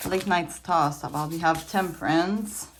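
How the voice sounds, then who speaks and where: A woman's voice: lowish voice, measured pace, noisy background. Quebec French woman chatting over tarot. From Quebec, Canada. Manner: chatty, laughs often, trails off and restarts. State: a little tired. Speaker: tarot reader. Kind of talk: casual tarot reading to camera. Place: indoors at a table with trinkets.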